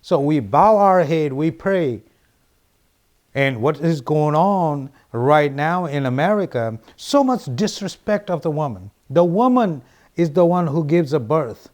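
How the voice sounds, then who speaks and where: A man's voice: fairly high pitch, expressive, measured pace, darkish tone. Middle-aged man, Nepali accent, political candidate, earnest and repetitive, plenty of restarts. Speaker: congressional candidate. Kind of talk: interview answers.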